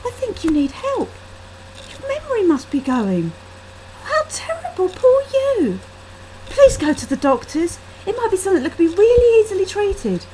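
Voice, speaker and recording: A woman's voice: extremely high pitch, wildly swooping pitch, moderate pace, mellow, pitched above the performer's own voice, exaggerated voice. A woman voicing an earnest, concerned young female friend in a short story. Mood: pitying. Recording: faintly noisy laptop webcam microphone.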